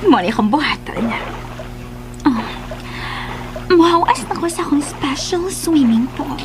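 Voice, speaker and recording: A woman's voice: high-pitched, expressive, a little slow. A woman playing a scheming, flirtatious woman in a radio drama, coy, theatrical and self-satisfied. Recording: radio drama with loud music underneath. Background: loud music.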